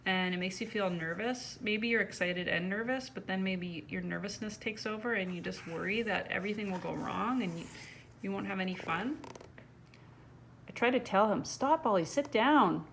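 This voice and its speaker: Female storyteller, mid-pitched, conversational children's read-aloud with chatty asides; homemade recording with some background noise. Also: normal speed, gravelly, a bit bright. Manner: conversational, asks the listener direct questions. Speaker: storyteller reading a picture book to children.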